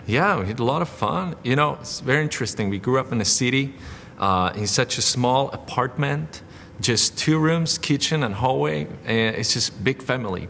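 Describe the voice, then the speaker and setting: A man's voice: medium pitch, lively intonation, normal speed, creaky, a bit bright, in a large hall, slight background noise. A young Czech man, a missionary guest, speaking English with a Czech accent in an easygoing, filler-heavy way with frequent laughs. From Czech Republic. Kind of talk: casual interview.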